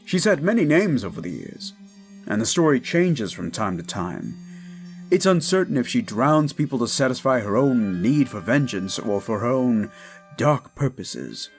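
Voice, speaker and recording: A man's voice: mid-range voice, big pitch swings, normal speed, faint music behind. A man acting a confident, scholarly folklorist who explains things with assurance in an audio drama. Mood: grave, explaining.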